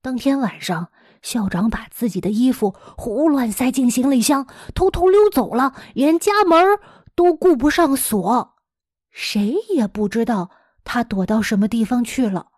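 A woman with an expressive, dramatic storytelling manner, speaking as a teacher to young listeners. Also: fairly high pitch, unhurried, husky, no echo, studio quality. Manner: dramatic, animated delivery with rhetorical questions addressed to young listeners. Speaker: teacher hosting a children's story podcast.

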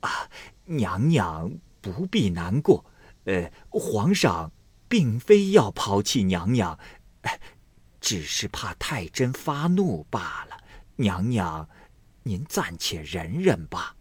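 A man voicing a palace messenger who placates the consort slowly with fillers. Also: lowish voice, very animated, slightly rough, dry recording, full character voice. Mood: soothing. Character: soothing palace messenger, male servant.

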